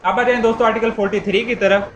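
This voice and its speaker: A man teaches in a conversational, repetitive way. His voice sits a little high. The room rings with echo, and there is clear noise behind him. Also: fairly even intonation, rapid-fire, fairly bright tone, muffled recording. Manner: repetitive, conversational teaching with acted-out everyday examples. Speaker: law lecturer. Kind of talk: informal explanatory lecture with illustrative examples.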